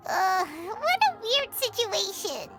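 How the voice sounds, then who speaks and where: A woman voicing a tiny, excitable childlike fairy companion in a video game, squeaky, blunt and very expressive, with music behind her. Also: slow pace, heavily acted. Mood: exasperated. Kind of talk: cartoonish video game voice acting.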